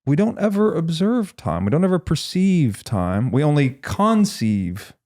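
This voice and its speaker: A man hosting a podcast, explaining ideas conversationally in a thoughtful, measured and halting way as he reasons aloud. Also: a little high, sing-song, darkish tone. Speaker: podcast host.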